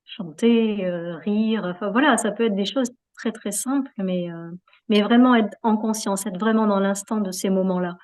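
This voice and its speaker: A woman's voice: medium pitch, moderate pace, darkish tone. A woman being interviewed in a reflective, conversational way, hesitating often as she searches for her words. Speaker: interview guest, yoga practitioner.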